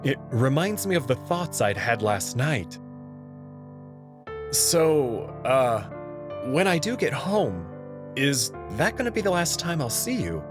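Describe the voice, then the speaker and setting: A man reading a visual novel aloud in the first person, in an expressive, nervous way. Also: mid-range voice, slow pace. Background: background music.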